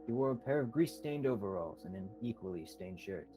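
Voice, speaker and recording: A man's voice: fairly low pitch, animated, fairly quick, music in the background. A young man reading scripted narration for a radio-style mystery drama. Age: young adult.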